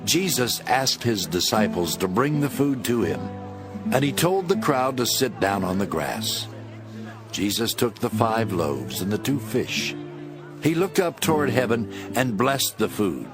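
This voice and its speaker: A man narrating scripture as a scripted, dramatic story in a measured storytelling manner. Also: medium pitch, very animated. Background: instrumental music.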